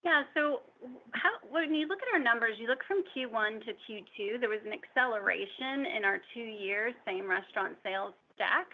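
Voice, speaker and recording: A woman finance executive giving measured, jargon-heavy business answers on an earnings call. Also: mid-range voice, vocal fry, muffled recording, a little hiss or hum. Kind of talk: prepared-sounding business Q&A answers.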